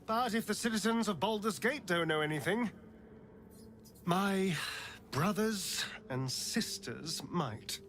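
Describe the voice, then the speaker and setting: A sly, wry vampire spawn. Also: male voice, sits high, animated, takes it slowly, a bit bright, slight background noise, full character voice. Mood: scheming. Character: wry pale vampire spawn rogue man, sly and haunted. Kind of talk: video game voice acting.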